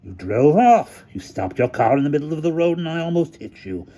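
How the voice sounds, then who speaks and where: A man voicing a big, exasperated police officer in a storybook, defensive, scornful and loud in protest. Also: on the high side, sing-song, fairly quick, voice pushed up.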